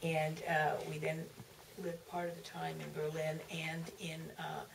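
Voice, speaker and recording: Low-voiced, creaky woman, halting and distant. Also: completely flat delivery. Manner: halting, repeats and trails off. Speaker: author, panel guest. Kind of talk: recollecting at a live audience Q&A. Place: museum theater. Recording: distant, noisy auditorium pickup.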